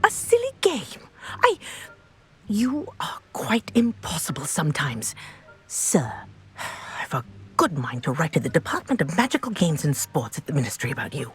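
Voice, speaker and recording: An actress playing a feisty, indignant sports coach in a video game, formal and sharp-tongued. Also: female voice, deep voice, huge pitch swings, normal speed, soft background music. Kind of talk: video game character acting.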